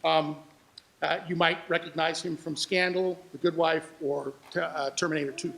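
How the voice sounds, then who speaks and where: A man gives a public presentation as a sound editor, sharing anecdotes. His voice sits high for a man and has a lot of fry in it. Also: level tone, moderate pace, fairly bright tone.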